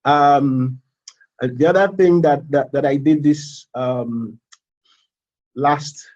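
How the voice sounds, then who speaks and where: A man in his thirties from Nigeria with a Nigerian accent, a student giving a casual live presentation, halting with fillers and repeats. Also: medium pitch, a little mellow, highs cut off. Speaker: MBA student.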